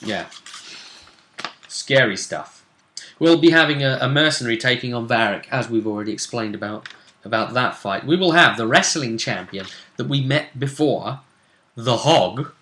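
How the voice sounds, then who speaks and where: Man musing in a bright, echoey room. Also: medium pitch, animated, normal speed, slight background noise. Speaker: host of a tabletop role-play vidcast. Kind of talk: casual tournament preview commentary. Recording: roomy echo.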